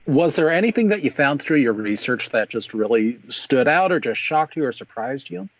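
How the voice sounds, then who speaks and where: A man co-hosting a casual chat, putting questions to the guest in a measured way. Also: medium pitch, animated, no room sound, rough recording.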